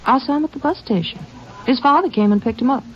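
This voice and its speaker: A woman's voice: high-pitched, fast, lightly acted. An actress playing a talkative, gossipy young rural woman. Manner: chatty, folksy gossip. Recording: dull old radio broadcast with faint hiss.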